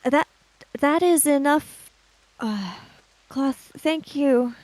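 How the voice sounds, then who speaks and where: Woman playing a halting, formal young woman. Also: high voice, darkish tone, dry recording, pitched above the performer's own voice, poor audio. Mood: gentle, apologetic. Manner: halting, formal, careful phrasing. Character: Chiaki, polite, hesitant young woman investigator.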